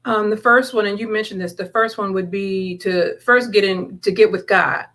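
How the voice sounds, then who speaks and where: A woman's voice: medium pitch, steady tone, normal speed, a bit bright. A woman speaking as a guest author, answering in a conversational, sincere and reflective way about matters of faith.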